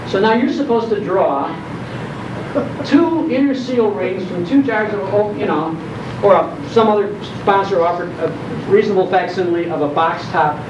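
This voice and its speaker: An older American man telling humorous, nostalgic stories to an audience, animated, with dramatic pauses and repeats for comic effect. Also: sits high. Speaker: guest speaker. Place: indoor hall at a live program. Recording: muffled, noisy, echoey room from far off.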